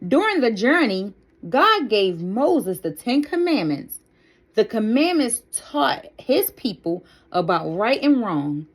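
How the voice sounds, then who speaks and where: A woman reading a children's Bible story aloud as a storyteller, with deliberate, careful and expressive phrasing. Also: a little high.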